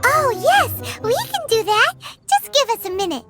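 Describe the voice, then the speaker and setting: A woman voicing a tiny, childlike fairy companion in a video game, cheeky and squeaky. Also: very animated, normal speed, a bit bright, exaggerated voice. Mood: puzzled, curious. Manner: speaks of self in third person. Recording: music playing behind the voice.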